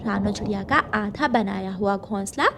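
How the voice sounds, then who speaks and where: A woman's voice: mid-range voice, normal speed. A woman giving scripted narration for a children's story. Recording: loud storm noise behind. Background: thunder and rain.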